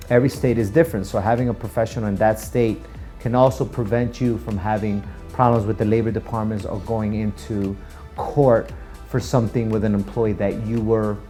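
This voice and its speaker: Man with mellow voice, giving practical advice. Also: medium pitch. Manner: emphatic, checks in with rhetorical questions. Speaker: dental practice owner giving business advice to camera. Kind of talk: informal instructional talk for a video channel.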